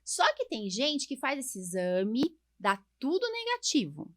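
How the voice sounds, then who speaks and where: A woman's voice: high-pitched, wildly swooping pitch, a little slow. A Brazilian woman, a doctor hosting a podcast, explaining things informally with frequent tag questions and diminutives. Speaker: podcast host, allergist doctor. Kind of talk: casual podcast conversation.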